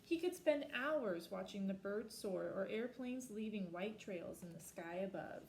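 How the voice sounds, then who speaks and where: Woman, storybook read-aloud, expressive, slows for emphasis, roomy echo, faint background hiss. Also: medium pitch, creaky. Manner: asks listeners reflective questions. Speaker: storybook reader.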